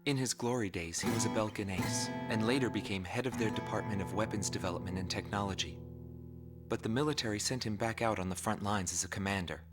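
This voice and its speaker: An actor as a low-voiced solemn documentary narrator. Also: male voice, over music, lightly acted. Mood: factual. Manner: solemn, deliberate. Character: sober male documentary reporter narrating a war's history.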